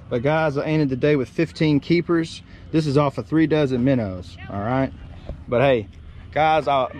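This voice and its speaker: This is a man, filming himself on a small boat outdoors. He chats casually to his viewers and gets loud and excited when a fish strikes. The camera sits on the boat, so there is faint outdoor noise behind him. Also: mid-range voice. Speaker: fishing vlogger. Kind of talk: casual fishing vlog talk.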